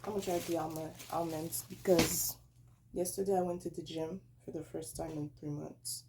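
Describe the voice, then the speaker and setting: A young woman, tired and a little hoarse, chatting casually and rambling as she taste-tests snacks at home. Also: low voice, steady tone, moderate pace, background noise. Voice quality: raspy. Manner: rambling, self-correcting, chatty. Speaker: snack reviewer vlogger. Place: at home, indoors.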